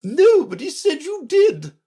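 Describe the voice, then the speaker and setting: A woman voicing a sharp, impatient, bossy queen in a children's storybook, speaking emphatically. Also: high voice, huge pitch swings, slow, pitched above the performer's own voice, exaggerated voice. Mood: indignant, severe. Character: Red Queen, sharp bossy imperious scolding royal chess piece.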